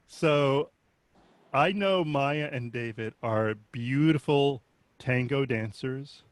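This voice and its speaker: A man's voice: mid-range voice, takes it slowly, dry recording. Host: man, friendly and polite, dry close sound. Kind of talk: casual interview hosting.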